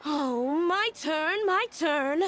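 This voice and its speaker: A woman's voice: sits high, animated, very slow, fairly bright tone, a little hiss or hum, exaggerated voice. A woman voicing an eager but hesitant villager in a video game.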